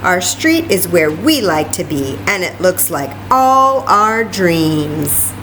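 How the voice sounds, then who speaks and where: Slow-paced woman reading to children. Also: on the low side, slight background noise, poor audio. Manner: animated, exclamatory, addresses young listeners directly. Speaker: children's library story time host. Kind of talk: picture-book read-aloud with chatty asides to children.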